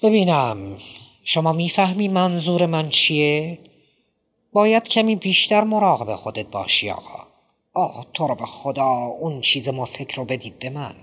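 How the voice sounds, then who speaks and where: Brief: male reader voices a nervy, mocking older man, pitched up, pleading and indignant. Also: animated, a bit bright, lo-fi sound. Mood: wheedling. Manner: jittery, high-strung, wheedling. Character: rattled eccentric middle-aged man, pleading and mocking. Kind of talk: audiobook character voice.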